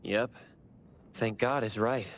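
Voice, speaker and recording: A man's voice: on the low side, steady tone, slow pace, slight background noise. A man playing an easygoing, reassuring teammate in an anime video game. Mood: relaxed.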